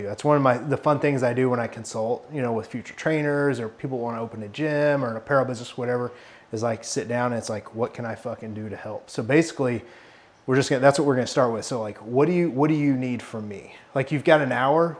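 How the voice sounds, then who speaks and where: A man, mentoring trainers in a casual chat, blunt, swearing often and animated. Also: medium pitch, fast. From Arkansas. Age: 40s. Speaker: fitness consultant.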